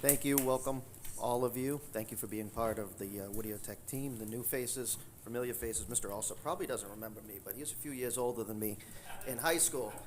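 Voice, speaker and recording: Jovial meeting chairman, low voice. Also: a little hiss or hum. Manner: jovial, friendly. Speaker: school committee chairman.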